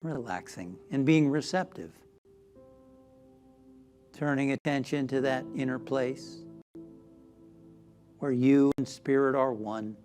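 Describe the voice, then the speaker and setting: Man, meditation guide, unhurried slow delivery, gentle, soothing, soft trailing phrases, quiet music behind. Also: mid-range voice. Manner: gentle, soothing, drifting into near-whispers. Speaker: minister leading guided meditation.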